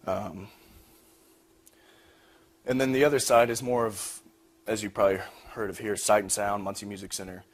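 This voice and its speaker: A man's voice: lowish voice, steady tone, moderate pace, pauses often. A young man giving an informal project talk as a student presenter. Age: young adult. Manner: frequent throat clearing. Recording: noisy room recording.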